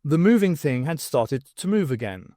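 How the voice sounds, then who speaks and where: A man's voice: on the high side, very animated, normal speed, warm tone, no room sound, clean studio sound. A man reading scripted dramatic narration of a science fiction story as an audiobook narrator. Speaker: audiobook reader.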